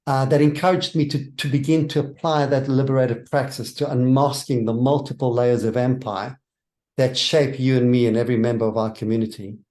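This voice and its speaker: Brief: South African man of middle pitch, church author giving measured, earnest interview answers. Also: steady tone, darkish tone.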